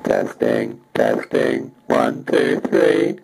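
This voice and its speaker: A man's voice: extremely low pitch, huge pitch swings, very slow, vocal fry, a little mellow, voice pushed down, heavily acted, poor audio. A man voicing a menacing robot through a buzzy, metallic ring modulator effect, played from a small speaker. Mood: flat, testing. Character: menacing robot, Dalek or Cyberman style. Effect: ring modulator robot filter.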